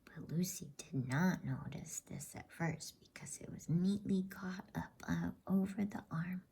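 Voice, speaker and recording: A woman softly whispering a bedtime story in ASMR style, breathy, with a halting delivery. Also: mid-range voice.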